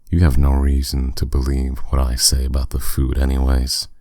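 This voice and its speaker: A man playing a powerful, courteous demon captor in an ASMR roleplay, speaking with smooth, teasing, condescending authority. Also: a bass voice, mellow, dry recording, clean studio sound. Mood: stern, dominant. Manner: smooth, condescending, unhurried, with endearments.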